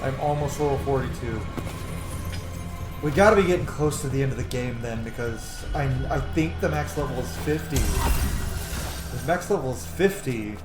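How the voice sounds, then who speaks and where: A man giving casual, animated gameplay commentary as a streamer, rambling into asides and swearing freely. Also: mid-range voice, normal speed, roomy sound, rough recording. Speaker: game streamer on own channel. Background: game music.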